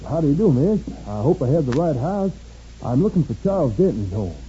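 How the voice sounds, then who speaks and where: Young army man, earnest n persuasive, lively pitch, dull old radio drama sound. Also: brisk, slight background noise. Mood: smooth, grave. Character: young soldier, male, earnest and plain-spoken. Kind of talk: 1950s radio western acting. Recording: dull 1950s radio recording.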